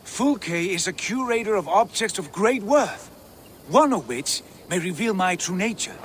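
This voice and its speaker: A man's voice: high-pitched, lively intonation, measured pace, slight background noise. A man playing a proud, grandiose Viking lord in a video game, with a solemn, lordly delivery. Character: proud, grandiose Viking lord who believes himself divine. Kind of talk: dramatic video game acting.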